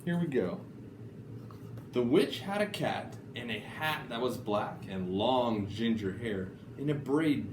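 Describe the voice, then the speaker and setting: A man reading picture books aloud to children in an animated, deliberate storytelling style. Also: mid-range voice. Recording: echoey room, faint background noise.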